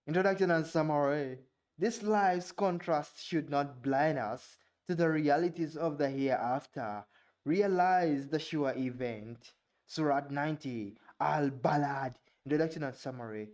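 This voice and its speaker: Halting Ugandan man reading aloud. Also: fairly high pitch, darkish tone. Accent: Ugandan English. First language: Luganda. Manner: halting, stumbles over unfamiliar words and sounds them out. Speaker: vlogger. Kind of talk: reading aloud with casual asides.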